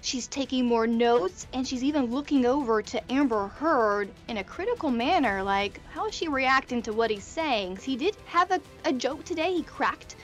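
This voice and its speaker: A woman in her thirties giving quick, chatty court commentary over a video call. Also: high-pitched, lively intonation, faint music behind. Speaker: court correspondent. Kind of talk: live news commentary.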